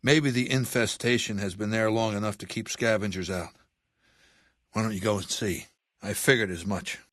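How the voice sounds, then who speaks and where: A man playing an aging, imperious warlord dictator in a video game, with a measured, commanding and menacing manner. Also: on the low side, steady tone, fairly bright tone, no echo, clean studio sound, close to an everyday voice. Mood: cold, commanding. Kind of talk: video game character dialogue.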